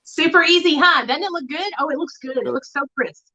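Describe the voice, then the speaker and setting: A woman hosting a casual livestream, chatting in an excited way with plenty of filler words. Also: sits high, fairly quick, a crowd in the background. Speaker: livestream host. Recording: muffled lo-fi livestream audio.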